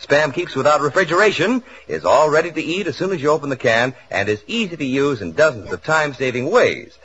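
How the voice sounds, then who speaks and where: A man announces in the polished, emphatic style of a 1940s radio commercial. His fairly high voice swings with lively salesmanship. The broadcast is muffled, with faint noise. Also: brisk. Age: young adult.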